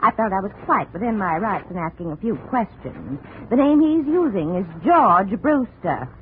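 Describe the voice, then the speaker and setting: Actress as a swooping, gossipy society wife. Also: female voice, medium pitch, big pitch swings, normal speed, lightly acted. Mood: eager, gossipy. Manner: chatty, haughty, emphatic. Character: gossipy, snobbish society wife, curious and self-assured. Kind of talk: 1950s radio drama acting. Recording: tinny vintage radio, faint noise.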